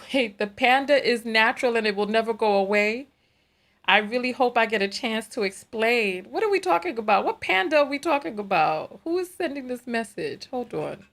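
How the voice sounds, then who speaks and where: A woman with a New York accent hosting a casual livestream, chatting and reading viewer comments, laughing and repeating herself. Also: medium pitch. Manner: chatty, animated, laughs often, repeats phrases. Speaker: livestream host.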